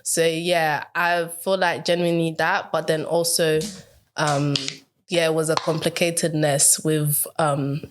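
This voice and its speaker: A woman's voice: deep voice, little pitch movement, unhurried, background noise. A woman, a guest on a podcast, telling her own story in a hesitant, rambling way and often laughing. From Ghana. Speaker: podcast guest, mental health coach. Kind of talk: casual interview chat.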